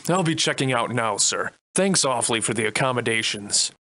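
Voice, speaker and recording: An actor playing a weary, wry Victorian gentleman adventurer in a video game, with florid, old-fashioned, formal diction. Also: medium pitch, animated, moderate pace. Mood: formal, hopeful. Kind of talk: theatrical video game voice acting.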